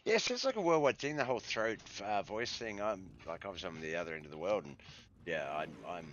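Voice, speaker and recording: An Australian man doing relaxed play-by-play commentary on a live-stream sim race, reading out lap times, with a hoarse, congested voice. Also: lowish voice, fast, muffled recording, a little hiss or hum. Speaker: sim racing league commentator.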